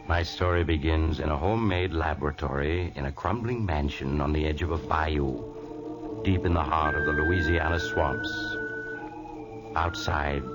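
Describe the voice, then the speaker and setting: An actor playing a sinister male storyteller who introduces the tale, in a 1940s radio drama with a deep, measured voice. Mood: ominous. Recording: muffled old radio broadcast with music.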